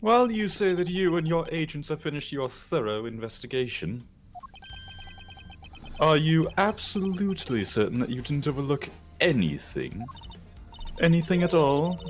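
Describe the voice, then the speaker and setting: Man playing a lively, dryly confident prosecutor. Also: on the high side, normal speed, slight background noise. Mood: smug, dry. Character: composed, sly male prosecutor, dryly confident. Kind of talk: fan dub voice acting with improvised banter.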